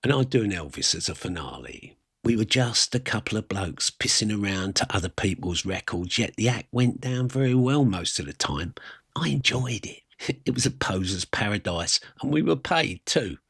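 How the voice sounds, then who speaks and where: A man with a husky voice, telling a first-person story in a wry, colloquial, self-deprecating way. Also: fairly low pitch, expressive, moderate pace, a bit bright, dry recording, clean studio sound. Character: wry working-class South London man reminiscing about his youth.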